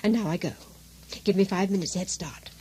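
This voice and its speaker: A woman's voice: on the low side, a little fast, mellow, natural voice. A woman acting a scheming, sardonic, bossy wife in a comic radio drama, wry and commanding. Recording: hissy, noisy vintage radio drama recording.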